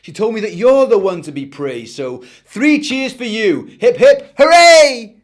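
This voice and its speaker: A man voicing an excitable, grateful little boy in a children's story, exclaiming exuberantly. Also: sits high, measured pace, voice pushed up. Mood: cheering gratitude.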